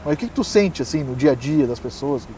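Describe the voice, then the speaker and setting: A man's voice: medium pitch, lively intonation, quick pace, warm tone, slight background noise, low-quality recording. A man hosting an interview, asking questions and backing his guest up with frequent "aham". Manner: affirming, frequent "aham" and laughs. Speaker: interviewer, channel host. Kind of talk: casual interview chat.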